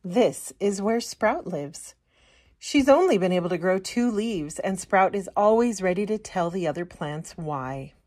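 A woman reading a children's picture book aloud as an expressive storyteller. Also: medium pitch, measured pace. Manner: expressive storytelling, putting on character voices. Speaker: storytime reader.

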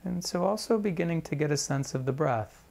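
A man leading a guided meditation as a teacher, speaking in a measured, deliberate way with pauses between phrases. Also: a little high, animated, gravelly, a little mellow.

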